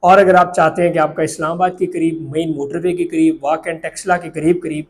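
A young man with a Pakistani Urdu accent presenting property prices to camera in a steady, explanatory sales pitch, recorded indoors in an office on a lapel mic. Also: a little fast. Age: young adult. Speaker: real estate agent.

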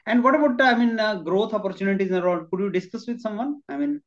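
A man with an Indian accent and a high-pitched voice conducts a conversational interview. He rephrases his questions as he goes and slips Hindi words into his English. The sound is dull and muffled, like a video call. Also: fast, slight background noise. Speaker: interviewer, educator. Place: classroom in front of a chalkboard.

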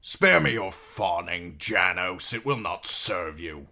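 A man's voice: lowish voice, expressive, takes it slowly, gravelly, sharp tone, pitched above the performer's own voice, heavily acted. A man voicing a stern, cold middle-aged king with a curt, commanding manner.

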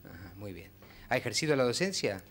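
A man's voice: lowish voice, big pitch swings, fairly quick. A man in his thirties hosting a TV talk show, questioning a guest with handheld mic in a studio and dropping brief encouraging interjections.

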